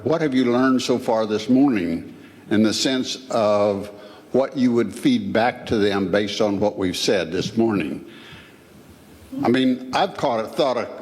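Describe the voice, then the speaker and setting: A man's voice: medium pitch, a little mellow. A man asking a question at a panel event in a polite, tentative, roundabout way. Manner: tentative, courteous, roundabout phrasing. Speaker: audience questioner.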